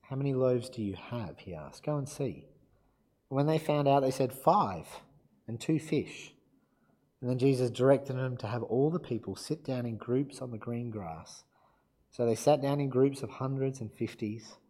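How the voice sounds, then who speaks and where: A man preaching a sermon and reading scripture aloud, his voice croaky from being unwell, relaxed and self-deprecating. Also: medium pitch, moderate pace. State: croaky from illness.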